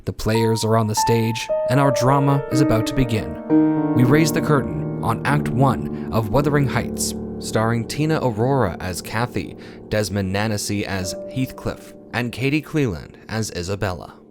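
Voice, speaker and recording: A man's voice: lowish voice, normal speed. A man announcing and narrating a radio drama in a solemn, theatrical, scripted style. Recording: loud music behind voice. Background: loud music.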